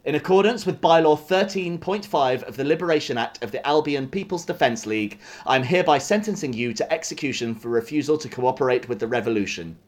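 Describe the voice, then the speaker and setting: A man's voice: mid-range voice, expressive, quick pace, few pauses, close to an everyday voice. A man playing a rigid, officious young revolutionary soldier who speaks in stiff official formulas. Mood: stern, insistent.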